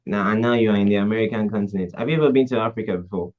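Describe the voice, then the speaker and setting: Low-voiced host on a dull line. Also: male voice, rapid-fire. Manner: hesitant, restarting questions. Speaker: podcast host. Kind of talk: interview hosting. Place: Africa. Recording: dull lo-fi sound.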